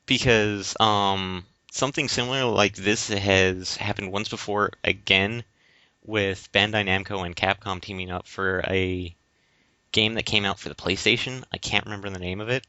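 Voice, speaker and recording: Male podcast co-host, deep voice, informal halting conversational delivery, dry lo-fi recording. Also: fairly even intonation, pushed and bright, no echo. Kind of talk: casual discussion of video games. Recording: dull lo-fi close voice recording.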